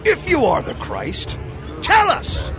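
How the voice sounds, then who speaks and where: An outraged priest in a biblical audio drama, shouting accusations. His very high, gravelly voice swoops wildly as he drags out each demand over music. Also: huge pitch swings, slow pace, crowd noise, heavily acted.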